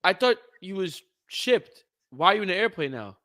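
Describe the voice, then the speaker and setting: A man's voice: sits high, very animated, moderate pace, sharp tone, dry recording, clean studio sound. A man co-hosting a casual chat, bantering with teasing, incredulous questions. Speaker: podcast co-host.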